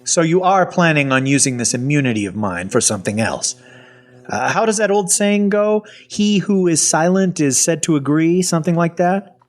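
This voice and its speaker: A man playing a sardonic, suspicious captive in a zombie audio drama, talking in a colloquial, wry way with a husky voice. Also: fairly high pitch, lively intonation, normal speed, lightly acted. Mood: suspicious, challenging. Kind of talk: first-person audio fiction narration and acting.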